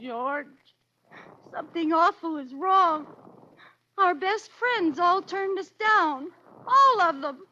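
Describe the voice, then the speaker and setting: An actress playing an excitable, scheming young housewife in an American 1940s radio comedy, with a melodramatic manner that makes much of small troubles. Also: female voice, extremely high pitch, slow pace, long pauses, full character voice. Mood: wailing distress. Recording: noisy, muffled old radio recording.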